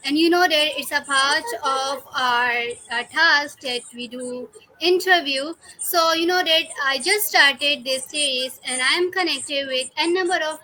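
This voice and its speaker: High, bright-voiced female podcast interviewer. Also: poor audio. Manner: halting, chatty non-native English. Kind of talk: casual remote video interview.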